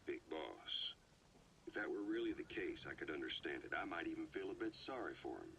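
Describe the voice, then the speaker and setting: Weary army second in command for a game, really deep, serious n deliberate, faint hiss behind. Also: male voice, big pitch swings, gravelly, a bit bright, full character voice. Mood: grave, skeptical. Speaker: video game character, military commander's aide. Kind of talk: scripted game dialogue, briefing on a cassette tape.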